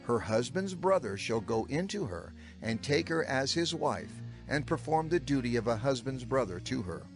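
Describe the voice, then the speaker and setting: Solemn old lawgiver for a dramatized scripture recording: measured, commanding declamation, very lively pitch, music bed behind. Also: male voice. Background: background music.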